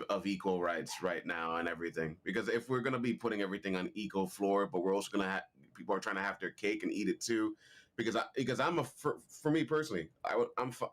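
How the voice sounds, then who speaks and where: A man's voice: low voice, a bit bright. A man in casual voice chat with friends, rambling and restarting as he talks.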